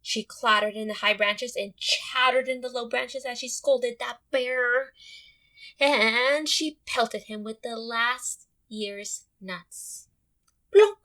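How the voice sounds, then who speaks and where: Bright-voiced woman teacher reading aloud. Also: a little high, slow pace, poor audio. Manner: expressive, addresses young readers directly, repeats questions. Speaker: elementary school teacher. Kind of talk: children's read-aloud lesson with questions.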